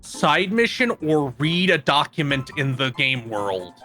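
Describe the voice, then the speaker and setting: A man co-hosting a casual gaming podcast, talking in a halting way and restarting his phrases. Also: a little high, sing-song, sharp tone, faint music behind.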